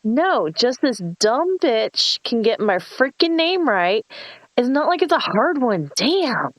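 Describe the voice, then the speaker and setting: A woman's voice: on the high side, big pitch swings, slow pace, no room sound, full character voice. A woman acting a mean, bossy, scheming young woman in a horror script table read, with a sarcastic, entitled delivery. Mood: irritated, biting.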